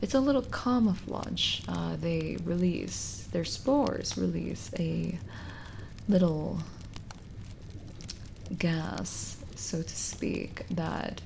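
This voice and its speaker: Slow, soothing forest witch, acted by a woman. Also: on the low side, creaky, noisy background, exaggerated voice. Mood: gently curious. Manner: gentle, coaxing, hesitant pauses, drifting into whispers. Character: lonely, kindly forest witch, soothing and hospitable. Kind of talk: ASMR roleplay audio drama.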